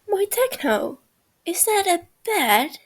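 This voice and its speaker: A woman's voice: very high voice, very animated, slow pace, pitched above the performer's own voice, exaggerated voice. A woman voicing a cheerful, clingy young man who teases his brother in a storybook reading.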